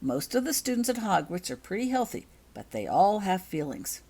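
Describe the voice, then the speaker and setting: School matron healer, read by a woman, patient, firm, encouraging, storybook delivery with animated intonation. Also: medium pitch, normal speed, creaky.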